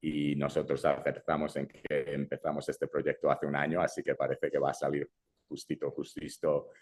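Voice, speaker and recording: A man's voice: a bass voice, monotone, moderate pace, a little hiss or hum. A young adult man from Brazil who grew up in Madrid, a filmmaker talking casually in an interview, often dropping English fillers and restarting his sentences. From Brazil, raised in Madrid. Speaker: filmmaker guest.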